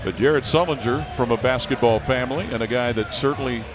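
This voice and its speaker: A man delivers play-by-play in a mid-range voice, measured and informative. Music runs clearly beneath him throughout. His voice has the close pickup of a headset mic at a live broadcast. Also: medium pitch. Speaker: play-by-play announcer.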